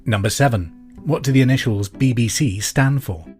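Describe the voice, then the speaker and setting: A man's voice: medium pitch, normal speed, a little mellow. English man hosting a quiz over music. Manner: conversational, clearly repeats questions. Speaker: quiz host. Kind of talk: pub quiz podcast presenting, chatty. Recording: music behind the voice.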